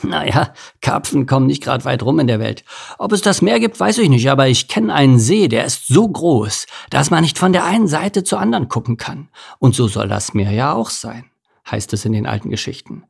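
A man's voice: medium pitch, sing-song, normal speed, vocal fry, husky, voice pushed up, heavily acted. A man voicing a cheerful, cheeky male eel in a storybook reading, brash and teasing.

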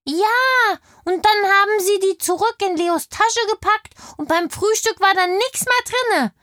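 Indignant, very high-pitched little girl. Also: a little slow, sharp tone, no echo, full character voice. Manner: childlike, colloquial storytelling. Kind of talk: children's audio play interview.

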